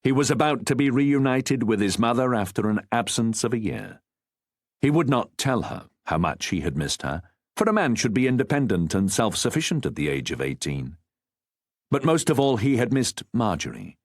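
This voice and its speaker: A man narrating a historical novel as an audiobook, with a measured, formal storytelling delivery. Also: mid-range voice, sing-song, dry recording, clean studio sound.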